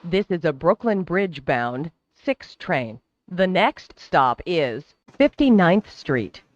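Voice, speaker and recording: Slow, formal subway announcer, slightly dull sound. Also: mid-range voice, very animated, no echo. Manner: formal, measured, clearly enunciated. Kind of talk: scripted transit announcement. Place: recorded train public-address announcement.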